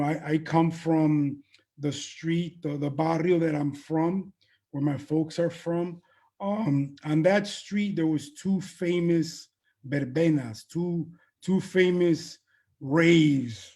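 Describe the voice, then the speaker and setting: A man in his fifties answering interview questions as a guest, speaking haltingly with frequent pauses between short phrases. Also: fairly high pitch, dark tone. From born in Colombia, raised in the US. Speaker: interview guest, veteran event producer. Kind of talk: interview answers about own background.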